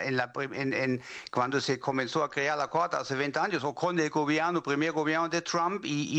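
A man's voice: fairly high pitch, a bit bright. A man from Germany with a German accent speaking as a non-native, an academic giving explanatory interview answers and often repeating words as he searches for phrasing. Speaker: legal academic, interview guest.

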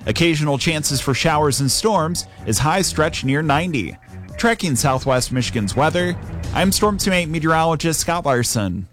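A man's voice: on the high side, expressive, normal speed, a bit bright, faint music behind. A male meteorologist delivering a scripted radio weather forecast.

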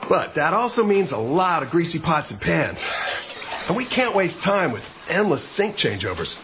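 An actor playing an enthusiastic, practical restaurant owner giving a radio ad testimonial. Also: male voice, a little high, very animated, normal speed, dull audio, background noise. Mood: upbeat.

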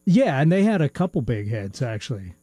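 A man who produces a live radio music show, chiming in from the studio to share music trivia in a relaxed, knowledgeable way. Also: mid-range voice, a little fast, slightly rough, dry recording.

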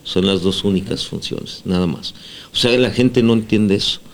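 A man's voice: lowish voice, normal speed, gravelly, a little hiss or hum. A man with a Mexican accent co-hosting a radio show, explaining at length and checking that he is followed. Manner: explains at length, asks for agreement. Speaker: radio co-host. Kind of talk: casual radio talk.

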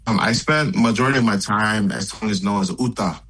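A man's voice: fairly low pitch, a little fast, a bit bright, slightly rough. A man from Hawaii, chatting easily as a musician guest over a video call. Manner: laid-back, easygoing, lots of fillers. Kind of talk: casual interview chat.

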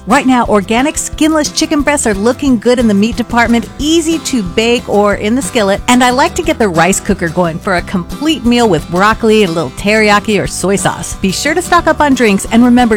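A woman talking through a radio commercial in a conversational, personal way. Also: on the high side. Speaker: ad spokesperson.

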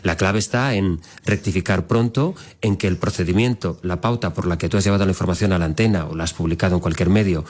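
A middle-aged man, a native Catalan speaker, hosts a podcast in a low-pitched voice. He talks conversationally but earnestly, pausing to search for the precise word in a measured, thoughtful manner. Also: a little mellow. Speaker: podcast host and radio journalist.

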